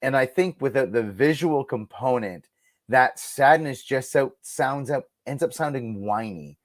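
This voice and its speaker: A man with an average-pitched voice talks casually as an interview guest. He is emphatic and enthusiastic, telling things in an animated conversational way. The recording is dry and close. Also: measured pace, dry recording. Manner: enthusiastic, emphatic, acts out quoted lines. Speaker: guest, radio producer and audio drama creator.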